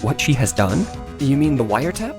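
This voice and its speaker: Guy doing an eager young lawyer from a game dub, wry and dramatic, lively mid voice, faint music. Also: mid-range voice, brisk. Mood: probing. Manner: dramatic, with wry inner asides. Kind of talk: theatrical video game fan dub.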